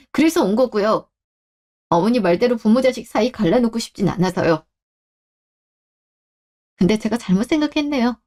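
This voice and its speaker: A woman plays a young wife in a radio drama. Her voice sits slightly high, and she speaks politely and with restraint but keeps a pointed edge. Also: darkish tone, lightly acted. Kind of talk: Korean radio drama acting.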